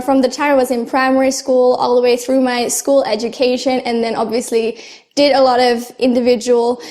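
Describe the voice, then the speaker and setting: A young woman shares her language-learning advice to camera. Her voice sits high, and she talks in an earnest, chatty way with easy fillers and small laughs. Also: darkish tone. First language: German. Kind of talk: casual talk to camera.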